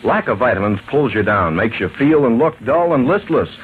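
A man's voice: mid-range voice, lively intonation, moderate pace. An American man reading a scripted 1940s radio advertisement with an emphatic, slogan-driven delivery. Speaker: commercial announcer. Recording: narrow, noisy vintage radio recording.

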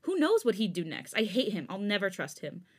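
Woman reading as a raging young man, high and loud, storybook fight scene. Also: a little fast, lightly acted. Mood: angry shouting. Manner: yelling, pitch raised. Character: furious young man, shouting and bitter. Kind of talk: fan fiction read aloud.

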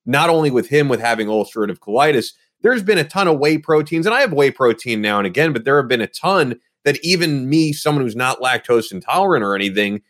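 A man's voice: mid-range voice, lively intonation, fast, few pauses, fairly bright tone. A man in his thirties hosting a podcast, enthusiastically praising a product in casual conversation. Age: 30s. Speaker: podcast host, former personal trainer.

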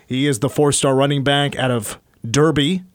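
A man hosting a sports talk radio show, chatty and rambling, his voice getting a little hoarse. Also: mid-range voice, fairly even intonation, moderate pace, no echo, studio quality. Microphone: studio microphone.

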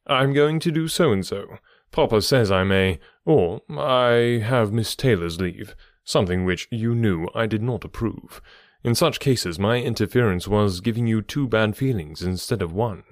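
A man reading an earnest, lecturing gentleman. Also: fairly low pitch, moderate pace, no echo, clean studio sound, lightly acted. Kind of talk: audiobook dialogue reading.

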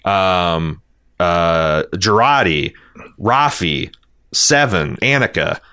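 A man hosting a podcast, discussing television casually in a halting, rambling way and often repeating words as he reasons. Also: low voice, animated, sharp tone, smooth. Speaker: podcast host reading listener feedback.

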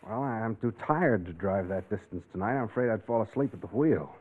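Vintage radio drama reporter: lowish voice, casual, wry, road-weary, tinny recording. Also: male voice, expressive, fairly quick. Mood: tired, polite. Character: tired, easygoing male star reporter on the road. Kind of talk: 1940s radio acting.

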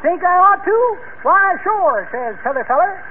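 A stubborn, cheery American old-timer man in a vintage radio comedy. His voice is extremely high and swings up and down in a folksy drawl. The recording is thin and noisy. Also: very animated, unhurried, phone-quality sound, exaggerated voice. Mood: jovial, folksy. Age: older. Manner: folksy, rustic drawl with homespun phrasing. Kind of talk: 1940s radio comedy acting.

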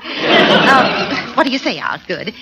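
A cheerful, scatterbrained middle-aged wife in a radio comedy. Her fairly high voice flutters and swoops in a singsong way. The old recording is clearly noisy. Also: very animated, slow, over a noisy crowd, full character voice. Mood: fluttery, pleased. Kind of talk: old-time radio sitcom acting.

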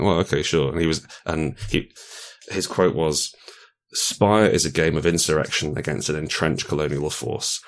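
A man with a low voice talks casually about role-playing games as a guest designer. He often doubles back on his phrases, hesitating as he finds the wording.

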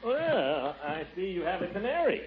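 An actor playing a pompous, blustering middle-aged bachelor in 1940s radio acting, on a tinny old broadcast. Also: male voice, high voice, big pitch swings, background noise. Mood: dry. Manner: blustery, pompous, quick to take offense.

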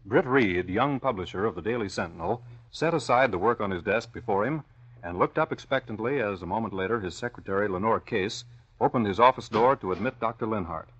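A man's voice: on the low side, lively intonation, fairly quick, a bit bright, husky. An American man reading scripted narration as a dramatic, declamatory 1940s radio announcer. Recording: dull vintage radio recording.

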